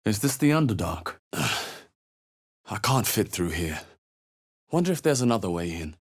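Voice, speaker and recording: An actor voicing a measured, wry male adventurer in a fantasy video game, with understated, dry remarks. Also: fairly low pitch, very animated, husky, no echo, full character voice. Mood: wry, muttering. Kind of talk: video game character voice lines.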